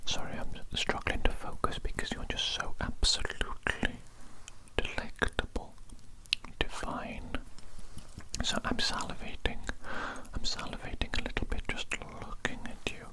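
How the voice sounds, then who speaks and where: A man voicing an evil, polite cannibal doctor in a breathy whispered ASMR roleplay, softly repeating his phrases as he savors them. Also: a little slow, lightly acted, low-quality recording. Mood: savoring, delighted.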